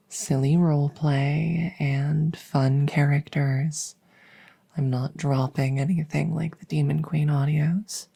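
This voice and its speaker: A woman speaking gently in a soft ASMR style, close to the mic, sometimes dropping into a whisper. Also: low-pitched, creaky, breathy edge. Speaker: audio roleplay creator. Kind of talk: soft-spoken ASMR introduction. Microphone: close stereo condenser mic.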